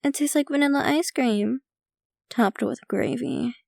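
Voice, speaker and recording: High-pitched, creaky, doting vampire girlfriend voiced by a woman. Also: measured pace, darkish tone, no room sound, clean studio sound, exaggerated voice. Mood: playful, indulgent. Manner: theatrical, doting, gushing. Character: possessive, rich, devoted yandere vampire girlfriend. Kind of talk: ASMR roleplay, scripted intimate monologue to listener.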